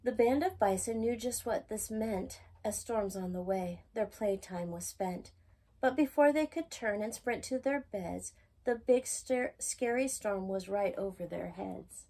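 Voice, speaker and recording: A woman reading a rhyming children's picture book aloud as a storytime host, with expressive, singsong emphasis. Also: medium pitch, unhurried, husky.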